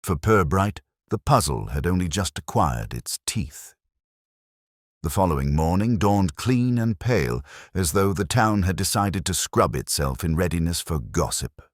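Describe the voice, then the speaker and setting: Man reading a mystery story, super deep voice, measured but lively intonation, dry studio sound. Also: dry recording. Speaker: narrator. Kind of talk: scripted mystery story narration.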